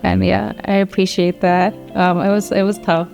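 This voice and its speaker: Even-toned woman in a conversational interview. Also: mid-range voice, moderate pace, creaky, soft background music. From grew up in New Jersey. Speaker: law school alumna, interviewee.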